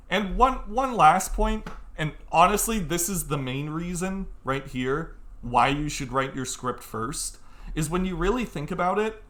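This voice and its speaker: A man's voice: a little high, fairly bright tone. A young man hosting an informal tutorial, talking to viewers in a frank, emphatic, conversational way. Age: young adult. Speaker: tutorial host.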